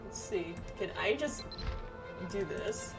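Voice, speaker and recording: A woman giving casual commentary while playing a game, expressive and prone to correcting herself mid-sentence. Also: medium pitch, slow pace. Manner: expressive, slips and self-corrects while reading. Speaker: game streamer. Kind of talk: casual gaming commentary and reading quest dialogue aloud. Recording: distant mic, music behind.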